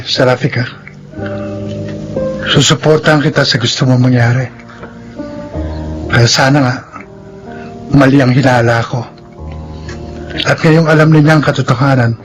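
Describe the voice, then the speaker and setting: A man acting an earnest, protective young suitor in a Filipino radio drama, speaking deliberately with pauses. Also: mid-range voice, steady tone, close to an everyday voice, rough recording. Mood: apologetic, concerned. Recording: radio drama with background music.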